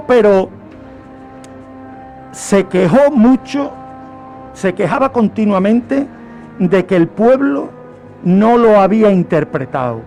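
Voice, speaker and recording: A man with a Spanish accent from Spain speaks in a notably high voice and takes his time over each phrase. He tells history like a radio storyteller, repeating words for emphasis. Faint music plays underneath. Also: darkish tone. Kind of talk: animated historical storytelling on a radio talk show.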